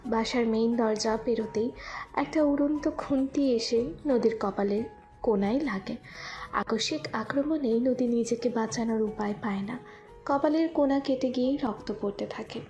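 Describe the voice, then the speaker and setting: Woman narrator, higher-pitched voice, scripted romance storytelling, soft music bed.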